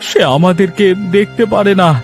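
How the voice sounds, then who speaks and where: An anxious village fish merchant, voiced by a man in a cartoon. His voice sits high and sounds plaintive and pleading, over music. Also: fairly even intonation, moderate pace. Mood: troubled. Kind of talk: Bengali cartoon story acting.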